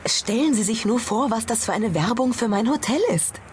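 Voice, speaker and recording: A woman's voice: on the high side, animated, moderate pace, natural voice. A woman playing a proud, gossipy and worried hotel owner in a German audio drama, effusive and deferential. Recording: ambient noise behind the voice.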